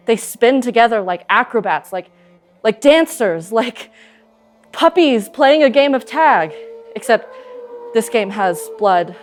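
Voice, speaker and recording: An actress playing a young, proud eagle huntress in an earnest, intense dramatic monologue. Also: female voice, mid-range voice. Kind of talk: dramatic stage monologue, vivid storytelling.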